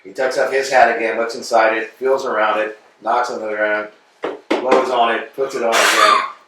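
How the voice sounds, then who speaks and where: A man reading stage directions aloud in a plain, measured way. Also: on the low side, flat delivery, heavy background noise. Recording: echoey room, distant mic.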